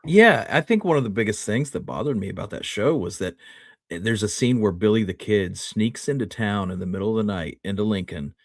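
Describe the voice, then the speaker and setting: A man's voice: lowish voice, expressive, a little fast, no echo, studio quality. An American man chatting casually as a co-host, giving his opinions in colloquial language. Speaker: podcast co-host. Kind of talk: casual history chat.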